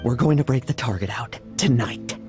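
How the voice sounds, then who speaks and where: An actor playing an intense, determined and reckless young knight in a video game, with a resolute manner. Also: male voice, medium pitch, normal speed, music in the background, natural voice. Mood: defiant, urgent.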